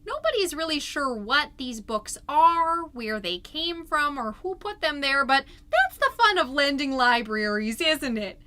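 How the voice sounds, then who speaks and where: A woman's voice: high-pitched, big pitch swings, measured pace, hardly any pauses, pushed and bright, heavily acted. A woman playing a chirpy, gushing suburban PTA mom who hosts a podcast, delivering a comedic monologue in a theatrical, folksy and emphatic way. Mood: gushing, excited. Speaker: podcast host.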